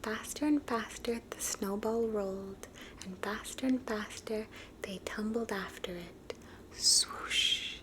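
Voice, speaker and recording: A woman reading children's stories aloud in a soft, unvoiced ASMR whisper. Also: medium pitch, unhurried, noisy background. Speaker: storybook reader.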